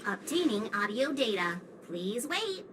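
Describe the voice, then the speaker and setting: A woman's voice: fairly high pitch, very animated, unhurried, background noise. A woman voicing an impassive robot gatekeeper in a video game, giving formal, clipped announcements.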